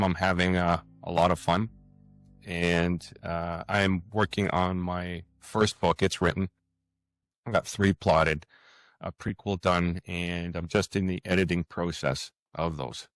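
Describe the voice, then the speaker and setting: Deep-voiced Canadian man of sixty. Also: fairly even intonation, no room sound, studio quality, soft background music. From Toronto, Canada. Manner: halting, hesitant, thinking aloud. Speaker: podcast guest, author. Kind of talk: casual conversational interview answers.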